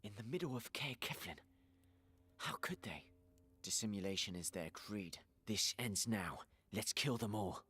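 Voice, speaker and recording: A man playing a grim, urgent adventurer leading his party in a fantasy game, terse and decisive, with a husky voice. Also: on the low side, expressive, unhurried, pushed and bright, full character voice. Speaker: game character, leader of adventurers. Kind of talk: fantasy video game cutscene acting.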